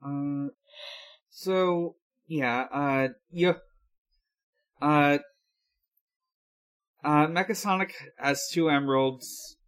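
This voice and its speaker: A man co-hosting a casual recap discussion, explaining things in a halting, hesitant way. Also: on the high side, a bit bright. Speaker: podcast co-host recapping episodes. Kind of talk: casual episode recap with co-hosts.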